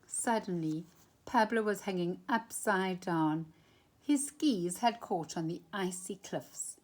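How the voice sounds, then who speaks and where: A woman reading a children's picture book aloud expressively, with dramatic emphasis and drawn-out phrases. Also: on the low side. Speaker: storytime reader.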